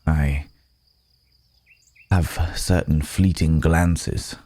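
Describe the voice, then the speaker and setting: Gentle werewolf, played by a man, ASMR roleplay: very deep, slow, polite, hesitant, apologetic. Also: fairly even intonation, no room sound, full character voice. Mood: thoughtful, searching. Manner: hesitant, halting, polite, soft chuckles, old-fashioned wording. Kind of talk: intimate ASMR audio roleplay monologue.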